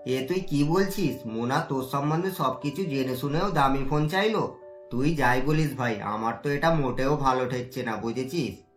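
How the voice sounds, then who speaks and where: A woman's voice: extremely low pitch, moderate pace. A woman voicing a frank, supportive young man giving blunt advice in a cartoon. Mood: doubtful. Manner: blunt, advising. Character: frank, supportive young male best friend. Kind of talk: cartoon story dubbing. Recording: roomy echo, music bed.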